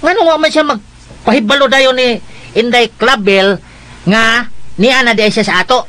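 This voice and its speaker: Fussy older companion, piercingly bright. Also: male voice, very high voice, moderate pace. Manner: exclamatory, complaining. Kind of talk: radio drama acting.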